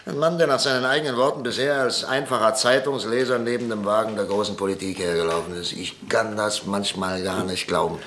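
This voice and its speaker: An actor playing an ailing older industrialist who grumbles and lashes out in a radio play. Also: male voice, on the low side, fairly even intonation, fairly quick, few pauses, a bit bright, a little hiss or hum, natural voice. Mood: indignant. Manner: agitated, grumbling, swings between sarcasm and outbursts. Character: ailing older male industrialist, bitter and irritable. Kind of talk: 1950s German radio play acting.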